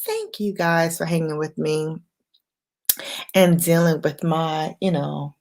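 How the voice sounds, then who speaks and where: A woman hosting an impromptu late-night livestream, speaking in an unhurried, reflective way in short, paused phrases. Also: sits low, animated, a little mellow. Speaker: livestream host.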